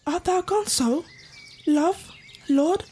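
A woman's voice: extremely high pitch, takes it slowly, a little mellow. A woman playing a passionate, defiant young girl in love, declaiming in a Shakespeare audio drama. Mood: tender, anxious. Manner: declamatory, impassioned.

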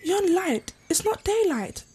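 A woman's voice: very high voice, sing-song, unhurried, warm tone, full character voice. A woman playing a passionate, defiant young girl in love, declaiming in a Shakespeare audio drama. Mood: tender, anxious. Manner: declamatory, impassioned.

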